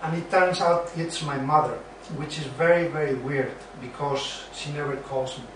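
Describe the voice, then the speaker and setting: A man playing a wry young joker in an amateur sitcom reading, with deadpan delivery. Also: on the high side, moderate pace, natural voice. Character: wry, joking young male friend, Chandler. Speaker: student in an amateur dramatized reading. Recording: distant, very echoey room, faint noise.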